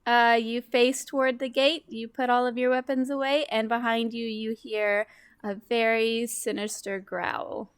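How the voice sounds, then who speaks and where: A woman narrates an improvised tabletop game. Her voice is high, crisp and resonant. The sound is very dry and close. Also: flat delivery, a little slow, no echo. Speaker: game player narrating scenes.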